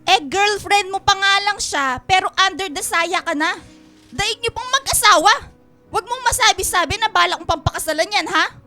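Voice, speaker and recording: A woman's voice: extremely high pitch, normal speed, sharp tone, soft background music, heavily acted. A woman playing a proud, scolding older Filipino aunt in a radio drama, nagging with reproachful emphasis. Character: scolding, proud older aunt who raised her nephew.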